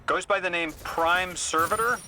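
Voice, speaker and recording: A man's voice: sits high, normal speed, pushed and bright, slight background noise, heavily acted. An actor playing a cocky, driven young male intelligence operative in a video game, urgent and confident. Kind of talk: video game dialogue acting.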